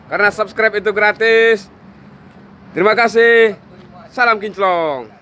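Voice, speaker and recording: Man, casual vlogger energy, slightly high voice, loud workshop noise behind. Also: measured pace. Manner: chatty, upbeat, showing off the work. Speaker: car detailing vlogger. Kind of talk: casual on-camera narration. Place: outdoor truck workshop yard. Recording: loud engine and water-jet noise.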